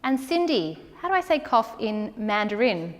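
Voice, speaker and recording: A woman in her thirties teaching a language class, speaking slowly and repeating words so learners can model the sounds. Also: on the high side, very animated, long reverb tail. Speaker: ESL teacher. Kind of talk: classroom teaching, slow and clear. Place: large classroom with brick wall, indoors.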